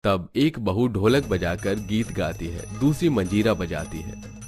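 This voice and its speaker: A man's voice: on the low side, animated, unhurried, music in the background. A man reading scripted narration as the storyteller of a family tale.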